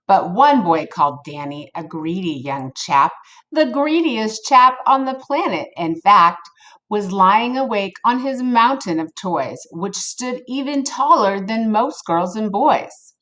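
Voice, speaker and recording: A woman reading a picture book aloud to children in an expressive, playful way, with casual asides to her listeners. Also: mid-range voice, unhurried. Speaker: storybook reader for children.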